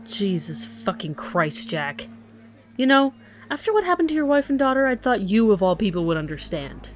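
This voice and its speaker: A woman's voice: medium pitch, sing-song, moderate pace, soft background music, lightly acted. A woman playing an angry, foul-mouthed police detective in an audio drama, blunt and sarcastic. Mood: bitter, angry.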